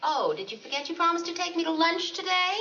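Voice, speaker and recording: A bright, teasing young wife. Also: female voice, very high voice, lively intonation, fast, dull audio, a little hiss or hum, heavily acted. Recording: echoey, distant old TV audio.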